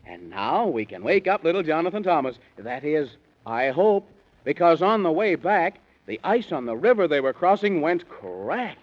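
A man tells a children's bedtime story on old-time radio in sing-song rhyme. His theatrical voice is fairly high and swings in lively fashion, on a dull, lo-fi vintage recording. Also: moderate pace. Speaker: narrator of a children's radio serial.

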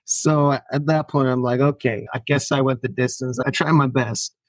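Finnish man, native Finnish speaker, animated podcast guest, mid-pitched voice, dry recording. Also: moderate pace, no echo. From Finland. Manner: animated storyteller who acts out quoted lines and laughs often. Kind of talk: casual storytelling interview.

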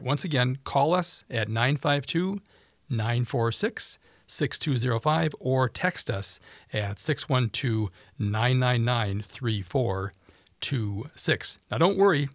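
A man hosting a call-in radio talk show, upbeat and reading phone numbers slowly. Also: medium pitch, no echo, studio quality.

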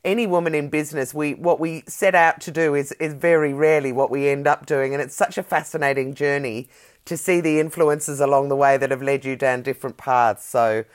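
A woman hosting an interview with a low voice. She asks questions in a curious, friendly, encouraging way. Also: fairly even intonation, fairly quick. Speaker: podcast host.

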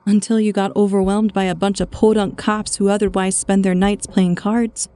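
A sulky young revolutionary man, cool and dismissive , as a woman narrator reads him. His lines come out clipped and low-key, never raised, and carry a quiet, superior edge. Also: little pitch movement, normal speed, lightly acted. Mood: sullen.

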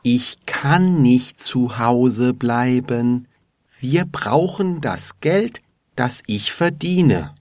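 A man playing a stubborn husband in a slow, scripted dialogue for learners. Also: mid-range voice, expressive, darkish tone, dry recording, studio quality. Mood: giving in. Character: stubborn husband arguing with his wife.

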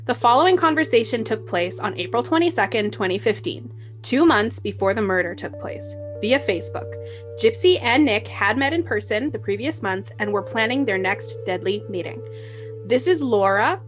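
A woman hosting a podcast, delivering scripted narration as the narrator. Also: a little high. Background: music.

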